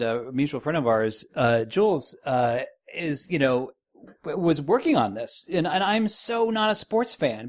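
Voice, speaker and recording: A man in his forties talking casually as a podcast guest, thinking aloud and often restarting his sentences. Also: a little high. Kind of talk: casual panel chat.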